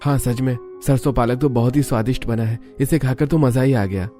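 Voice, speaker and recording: Lady doing an appreciative relative in a cartoon, low-toned, complimentary, music behind. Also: a little fast, mellow. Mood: pleased. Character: appreciative adult family member at dinner.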